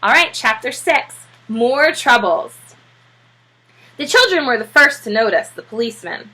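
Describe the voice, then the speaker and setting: A woman narrating a children's storybook aloud like a teacher, with expressive delivery. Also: fairly high pitch, unhurried, slightly rough, poor audio.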